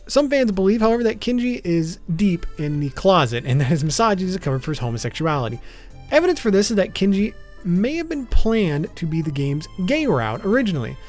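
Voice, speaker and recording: A man's voice: a little high, a little mellow. A man narrating informal scripted video commentary in a casual way, with wry asides. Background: background music.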